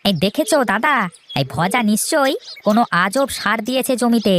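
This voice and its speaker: Woman as cocky high-pitched farmer lad. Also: animated, normal speed, natural voice. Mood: accusing. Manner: taunting, accusatory. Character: cocky, suspicious farmer brother, young male. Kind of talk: children's cartoon dialogue. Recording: faint noise with bird chirps.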